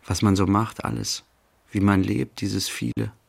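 A pensive, mildly serene thinker in a literary radio play. His voice is low and creaky, and he moves very slowly through short, halting phrases as he muses inwardly. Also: flat delivery, exaggerated voice. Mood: musing, serene. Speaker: actor, narrator.

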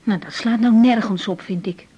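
A caring young Dutch doctor in a radio play. Her voice is fairly high and breathy, and she speaks in a natural, hesitant way. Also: normal speed, warm tone, lo-fi sound. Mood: uneasy, subdued. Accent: Dutch, from the Netherlands. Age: young adult. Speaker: actor playing a doctor. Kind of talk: naturalistic radio drama dialogue.

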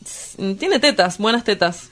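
A woman's voice: mid-range voice, animated, a little slow, a bit bright. Offhand Argentine bohemian outcast, acted by a woman. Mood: blunt. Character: cynical, evasive young male bohemian outcast. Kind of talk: dramatized dialogue reading.

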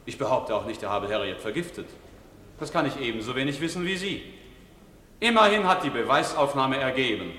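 Defence lawyer, male actor, 1950s radio play: formal, insistent, highly animated oratory, long hall reverb. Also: close to an everyday voice. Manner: rhetorical, formal, pressing questions. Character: insistent, eloquent male defence lawyer in an old London courtroom. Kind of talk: 1950s German radio drama acting, courtroom oratory. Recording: echoey hall, faint hiss, old radio recording.

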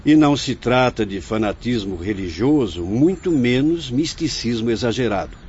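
A man acting a wise, patient spirit mentor in a radio drama, with a Brazilian accent and measured, preaching delivery. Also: mid-range voice, lively intonation, a little mellow, close to an everyday voice. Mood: earnest, assured. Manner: measured, preaching, formal oratory. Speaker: actor. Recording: dull lo-fi radio recording, background noise.

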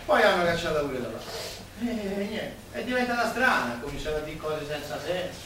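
An actor playing a chatty, warm-hearted working-class Roman man in a radio play, with colloquial, expressive, gossipy talk. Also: fairly high pitch, fairly quick. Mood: sad, confiding. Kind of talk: Italian stage-style radio theatre dialogue. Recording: distant, very echoey room, faint noise.

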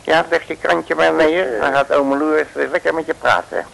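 Cheeky detective, gravelly n highish voice, slangy, old radio play. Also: male voice, steady tone, normal speed, fairly bright tone, slight background noise, low-quality recording. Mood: cocky, teasing. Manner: slangy, breezy, wisecracking. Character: cheeky, cocky streetwise Dutch private detective. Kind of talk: 1950s radio serial acting. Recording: old 1950s radio recording.

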